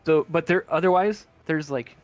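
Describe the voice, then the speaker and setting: Man game master, halting, slightly high voice. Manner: halting, searching for words. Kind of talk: improvised tabletop game narration.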